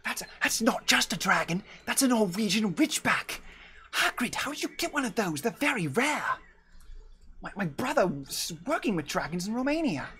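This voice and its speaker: A man's voice: high-pitched, sing-song, normal speed, sharp tone, a little hiss or hum, pitched above the performer's own voice, full character voice. A man putting on a jittery young British boy wizard. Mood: alarmed. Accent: attempted British.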